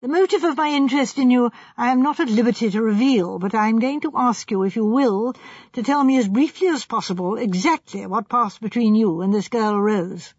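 Woman playing a courteous, husky, earnest gentleman. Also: fairly high pitch, fairly quick, no echo, dull audio.

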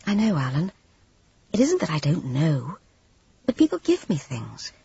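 A woman's voice: deep voice, wildly swooping pitch, measured pace, creaky, mellow, husky, dry recording, exaggerated voice. A reader voicing a meek, flustered young woman who stammers and hesitates, eager to please, on a dull, dry recording. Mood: pleading.

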